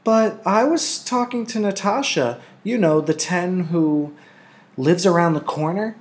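Storybook little girl, played by a man: high-pitched, gushing, eager chatterbox. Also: a little slow. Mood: chatty, petulant. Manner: sing-song, sighing, petulant.

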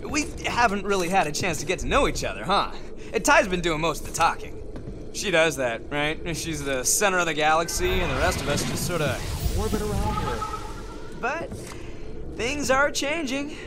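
High-pitched, volatile young villain. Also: male voice, very animated, normal speed, background noise. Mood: smug, casual. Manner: swaggering, boastful, volatile. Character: cocky, unhinged young male cult leader villain drunk on new power. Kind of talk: video game voice acting.

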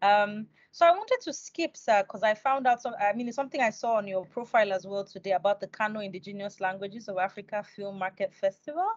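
A woman's voice: mid-range voice, a little fast, few pauses, no room sound. A young woman interviewing in an encouraging, conversational way as a podcast host. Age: young adult. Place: indoors on a video call. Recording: dry but dull video call.

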